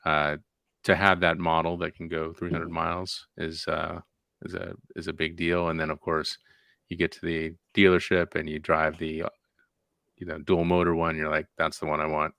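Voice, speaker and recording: A man's voice: low-pitched, monotone, moderate pace, gravelly, a bit bright, no room sound, studio quality. A man co-hosting a casual news podcast, making laid-back, offhand remarks. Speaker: podcast co-host.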